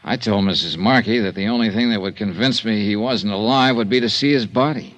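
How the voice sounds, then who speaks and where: A man's voice: on the low side, fairly quick, a bit bright, muffled recording, close to an everyday voice. An actor playing a dry, wry male insurance investigator in a 1950s radio drama, narrating in a clipped report style. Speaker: narrator and lead.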